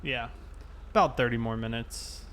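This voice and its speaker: A man chatting casually and thinking aloud in a halting way as he livestreams a police roleplay game. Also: medium pitch, fairly bright tone, a little hiss or hum.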